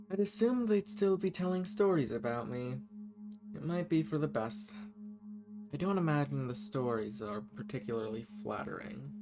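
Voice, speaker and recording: A man's voice: mid-range voice, natural voice. A man voicing a banished ancient villain in an audio roleplay, world-weary, menacing and flirtatious, musing theatrically in formal wording to the listener. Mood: curious, wry. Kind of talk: scripted audio roleplay, intimate monologue to the listener.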